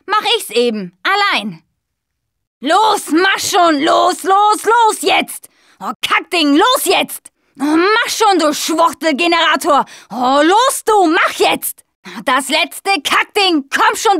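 A woman's voice: sits high, a bit bright, no room sound, studio quality, heavily acted. An actress playing a tough, sarcastic young woman surviving a zombie apocalypse in a video game, blunt and urgent in manner. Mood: confident, wry, then angry, impatient. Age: young adult. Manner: blunt, foul-mouthed, urgent. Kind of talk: video game voice acting, combat barks and quips.

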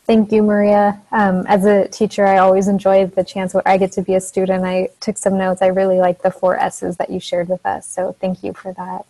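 A soft-spoken young woman, a teacher, giving an informal educational presentation over a video call. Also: medium pitch, completely flat delivery, a little fast, few pauses, rough recording. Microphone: earphone mic on a video call.

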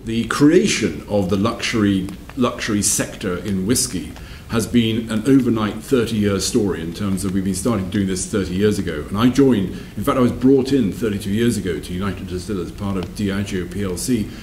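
A man of about sixty reminiscing in an interview, repeating phrases for emphasis between hesitant pauses. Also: on the low side, darkish tone, echoey room, a little hiss or hum. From south-west England. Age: around 60. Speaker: interviewee, whisky company managing director. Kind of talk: reflective interview storytelling. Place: wood-panelled room by a fireplace, indoors.

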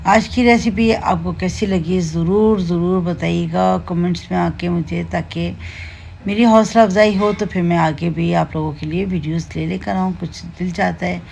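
Warm, low-voiced Pakistani home cook. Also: female voice, steady tone, creaky, slight background noise. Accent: Pakistani Urdu. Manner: unhurried, homely explaining with many fillers. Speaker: cooking channel host. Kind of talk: home cooking tutorial voice-over. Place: home kitchen.

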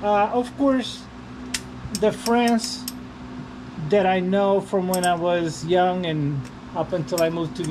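Male vlogger, casual rambling delivery, slow pace, mid-pitched voice, noisy working surroundings. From Portugal. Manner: halting, rambling, repeats himself. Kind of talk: casual unscripted vlog chat while working. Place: working on a car during an oil change. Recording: noisy, with vehicle and ambient hum.

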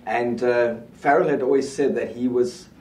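A middle-aged rugby pundit talking in a small studio, confident and steady as he lays out his predictions. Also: mid-range voice, normal speed, distant mic, slight background noise. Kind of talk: casual rugby chat. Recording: roomy studio sound, mic set back.

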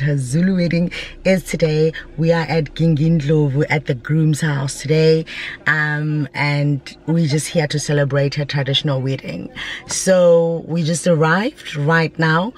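A young South African woman with a hoarse, nearly lost voice, chatting excitedly into her phone from inside a parked car. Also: sits low. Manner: chatty and excited, many fillers and restarts. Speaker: travel vlogger. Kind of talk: casual vlog intro. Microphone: phone held at arm's length.

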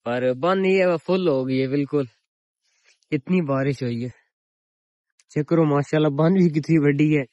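A young man with a Punjabi accent talks straight to his viewers while walking through open countryside, holding his phone at arm's length. His voice sits fairly high for a man, and the recording is close and dry, with little room sound. He keeps an easy, chatty manner throughout. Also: unhurried, pauses often, a little mellow, no room sound, lo-fi sound. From Pakistan. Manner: chatty, addresses viewers as public. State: recovering sore throat. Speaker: village vlogger. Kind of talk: casual selfie vlog. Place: open countryside outdoors on a cloudy, windy day.

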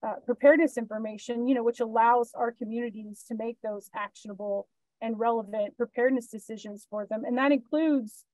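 Earnest woman, higher voice, dry sound. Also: fairly even intonation, a little fast, darkish tone, no room sound, poor audio. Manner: earnest, many "you know" and "um" fillers. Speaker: emergency management panelist. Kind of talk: panel discussion. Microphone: video call.

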